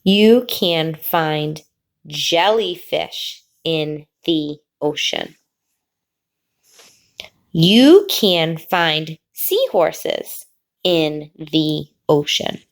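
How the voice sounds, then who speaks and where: A woman teacher reading a picture book aloud to children, pausing before key words and explaining the pictures. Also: deep voice, big pitch swings. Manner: slow, drawn-out reading with sing-song pauses before the last word.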